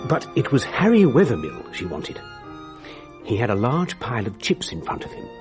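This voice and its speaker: An actor playing a genteel, fussy old bachelor gentleman in a radio drama, telling his story precisely with theatrical pauses. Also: medium pitch, wildly swooping pitch, music in the background. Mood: storytelling.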